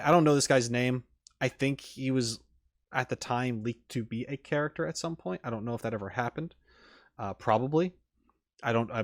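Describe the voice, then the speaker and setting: A man's voice: mid-range voice, no room sound, studio quality. A man hosting a reaction video with casual, rambling commentary, often breaking off mid-thought and restarting his sentences. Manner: halting, self-interrupting, trailing off mid-thought; casual swearing.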